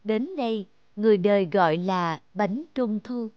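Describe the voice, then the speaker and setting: A storyteller giving scripted narration for a fairy tale in a measured, slow way. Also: medium pitch, smooth, dry recording, highs cut off.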